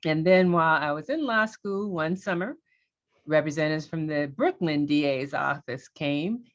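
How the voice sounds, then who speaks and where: A middle-aged woman from Los Angeles, a judge, telling her career story on a panel in a candid, lively way. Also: low voice, normal speed, muffled recording.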